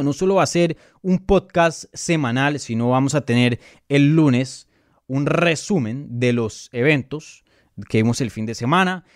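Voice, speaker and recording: A man's voice: on the high side, dry recording, clean studio sound. Colombian man giving casual fight analysis. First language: Spanish. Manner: conversational, emphatic, many fillers and restarts. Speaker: MMA analyst and channel host. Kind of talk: casual live commentary answering viewer questions.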